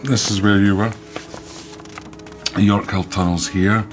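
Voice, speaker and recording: An actor as a brooding Scottish investigator in a radio play, absorbed in his thoughts. His voice is fairly low. It is slightly muffled, with faint music underneath. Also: fairly even intonation, measured pace, close to an everyday voice. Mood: preoccupied. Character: brooding, preoccupied, sleepless male investigator. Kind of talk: naturalistic radio drama acting.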